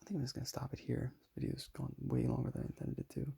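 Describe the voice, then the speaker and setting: A young man with a raspy voice, talking casually and modestly while showing his sketches. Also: mid-range voice, monotone, racing. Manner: soft-spoken, self-deprecating, rambling.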